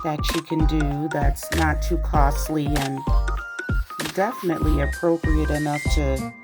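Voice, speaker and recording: Slow-talking raspy woman vlogger. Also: sits low, steady tone. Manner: friendly chatty address to viewers. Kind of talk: casual shopping vlog. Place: inside a store. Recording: loud background music.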